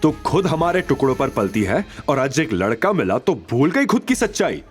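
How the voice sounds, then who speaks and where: A man's voice: mid-range voice, big pitch swings, normal speed, faint music behind, voice pushed up, close to an everyday voice. A man voicing a spiteful older mother-in-law who taunts and accuses with a sneer in an audio story. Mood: taunting.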